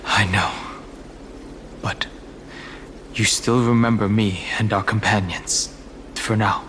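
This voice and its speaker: Game role: thoughtful, resolute young man, lowish voice, unhurried and grave. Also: fairly even intonation, a bit bright, slight background noise. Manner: measured, earnest, grave. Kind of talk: video game voice acting. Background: music.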